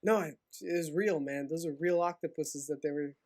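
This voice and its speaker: A man in his thirties who grew up in Hawaii, co-hosting a casual podcast, excitable and quick to laugh. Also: on the high side. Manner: excitable, laughs often, says "man" and "dude". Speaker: podcast co-host. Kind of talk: casual chat.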